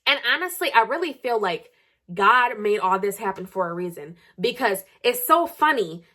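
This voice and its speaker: Husky American woman on a blunt rant. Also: medium pitch, very animated, moderate pace, pushed and bright. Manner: blunt, confrontational, heavy swearing; repeats phrases for sarcastic emphasis. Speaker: vlogger telling gossip. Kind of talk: heated tea-spilling rant to viewers.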